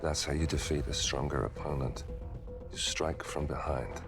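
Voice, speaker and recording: A shadowy villain in a spy game, cold and calculating. His extremely low voice is gravelly, and he speaks in terse, deliberate threats over clear music. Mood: calm threat. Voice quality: very deep, gravelly. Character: cold, menacing, calculating male shadowy schemer.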